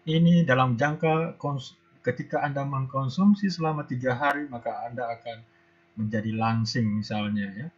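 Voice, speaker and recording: A hoarse man giving an online university lecture, pausing often with fillers, heard over a video call. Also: mid-range voice. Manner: hesitant, many filler pauses. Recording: dull lo-fi video call audio.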